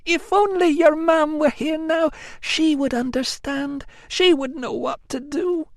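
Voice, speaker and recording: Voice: high-pitched, fairly even intonation, measured pace, no room sound, studio quality, voice pushed up. A narrator voicing a self-pitying young Roman woman who pleads and complains in tearful bursts. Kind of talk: audiobook acting.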